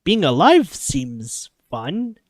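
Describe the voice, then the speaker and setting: A streamer voicing an eager, caring male automaton with a gentle, coaxing, playful manner in a visual novel. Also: mid-range voice, sing-song, drawn-out words, no echo, clean studio sound, heavily acted. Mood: wistful. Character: eager, caring male automaton host, wistful about humans.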